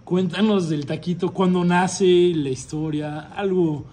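A man's voice: high voice, fairly even intonation, a little slow, mellow. A man with a Mexican accent hosting an informal interview in an effusive, rambling way. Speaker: host interviewer of a city history channel. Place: restaurant dining room, indoors. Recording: background noise, restaurant room ambience.

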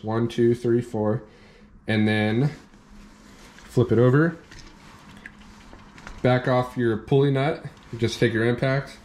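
A young man in a workshop, calmly walking through a hands-on repair step by step, with plain practical talk. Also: medium pitch. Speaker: off-road channel host demonstrating a repair. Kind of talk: hands-on how-to explanation. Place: indoor workshop at a workbench.

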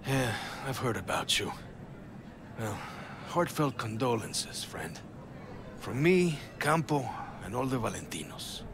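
Brash, swaggering gangster, animated delivery. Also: male voice, medium pitch, slow, background noise. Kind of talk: video game acting. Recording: crowd chatter behind the voice.

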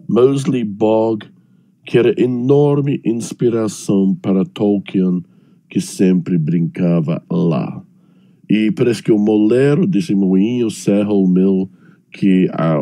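An older man from Birmingham, England, speaking Portuguese with an English accent, hoarse from illness, talking slowly and haltingly on a video call panel. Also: on the low side, warm tone, no room sound. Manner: slow, halting, searching for words. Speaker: panelist, professor. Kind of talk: online panel talk. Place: home room with bookshelves. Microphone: headset on video call.

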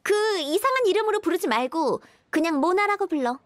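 A woman's voice: very high-pitched, expressive, a little fast, no room sound. A woman voicing a proud, self-assured young astrologer in an anime game, plain-spoken. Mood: dry. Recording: music behind the voice.

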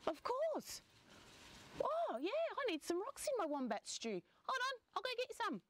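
A woman's voice: extremely high pitch, wildly swooping pitch, moderate pace, slight background noise, voice pushed up, heavily acted. A woman with an Australian accent voicing a casual, helpful kangaroo friend in a children's story. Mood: breezy, helpful.

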